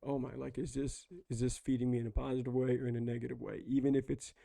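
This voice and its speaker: Hoarse male book-club host, rambling casual talker with a dark, gravelly, monotone voice. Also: mid-range voice, quick pace, no room sound. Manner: rambling, conversational, lots of fillers.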